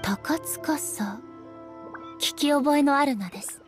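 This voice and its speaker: A woman voicing a dignified, solemn ruler deity in a video game, speaking in a composed, formal, measured manner. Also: high voice, faint music behind. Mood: calm, formal. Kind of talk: video game character acting.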